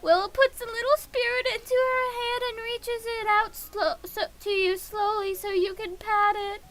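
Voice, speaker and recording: A woman livestreaming a game in a casual, excitable way, reading the text aloud and reacting with giggly gushing. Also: extremely high pitch, normal speed.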